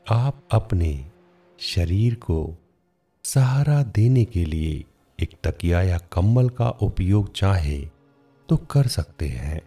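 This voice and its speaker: Very slow, deep-voiced man guiding meditation. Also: lively intonation, dark tone, breathy edge, soft background music. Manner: measured, instructional, with frequent pauses. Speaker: guided meditation narrator. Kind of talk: slow scripted guided meditation narration.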